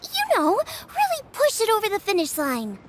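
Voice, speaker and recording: A woman's voice: very high-pitched, normal speed, a little hiss or hum, full character voice. A woman voicing a cheerful, earnest teenage girl chef in a video game, with a girlish, eager delivery. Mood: thoughtful. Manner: eager, expressive.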